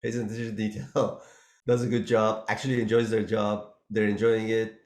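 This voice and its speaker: Man, podcast host, low voice, rambling, echoey room. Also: little pitch movement, moderate pace. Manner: rambling, laughs while talking. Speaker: podcast host, business owner. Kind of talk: casual podcast discussion.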